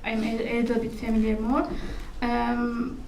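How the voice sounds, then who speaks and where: Halting, high-voiced Turkish woman in echoey room. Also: monotone, a little mellow. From Turkey. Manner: hesitant, halting, searching for words. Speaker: conference panelist, researcher. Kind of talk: panel question-and-answer. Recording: echoey room, distant mic, faint noise.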